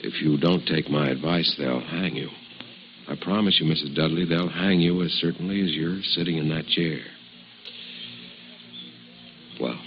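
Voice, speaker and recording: A stern deputy district attorney with an extremely low voice, formal and forceful as he presses a suspect. It is old-fashioned radio drama on a muffled, slightly noisy vintage recording. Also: fairly even intonation, moderate pace. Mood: threatening, pressuring. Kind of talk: 1950s radio drama acting.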